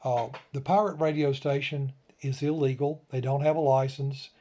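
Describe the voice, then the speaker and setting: A man's voice: mid-range voice, fairly even intonation, moderate pace, mellow. A man hosting an informal hobby tutorial, explaining step by step in a conversational way. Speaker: ham radio tutorial host.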